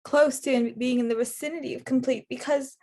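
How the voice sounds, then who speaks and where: A woman's voice: high voice, steady tone, fairly quick, warm tone. A woman acting a blunt, sardonic young paramedic in a rehearsed table read. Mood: playful, weary.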